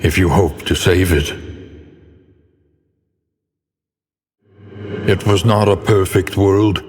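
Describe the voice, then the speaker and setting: A man playing a brooding, eloquent mentor who narrates a dark video game in a solemn, theatrical, portentous manner. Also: fairly low pitch, long reverb tail. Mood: solemn, wistful. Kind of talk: dramatic scripted game narration.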